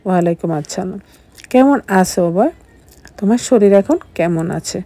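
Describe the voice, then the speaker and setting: A woman voicing a calm, kindly mother who greets her son-in-law gently, in a storybook reading. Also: mid-range voice, normal speed, no room sound. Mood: gentle.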